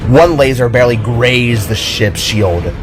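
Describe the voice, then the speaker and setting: A man's voice: medium pitch, animated, a little slow, music in the background. A man narrating a scripted audio drama in a dramatic storytelling manner.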